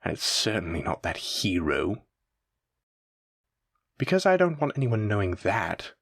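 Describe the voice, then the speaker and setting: Male mafia boss villain, played by an actor, audio drama: lowish voice, dry sound, theatrical, condescending, teasing menace. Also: sing-song, unhurried, fairly bright tone, no room sound, heavily acted. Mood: smug, calm menace. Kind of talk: scripted roleplay audio drama addressed to listener.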